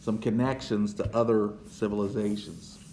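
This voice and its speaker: A man giving an unhurried history lecture as a teacher, checking in with "right?" as he goes. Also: on the low side, fairly even intonation, darkish tone, echoey room, slight background noise. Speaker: social studies teacher.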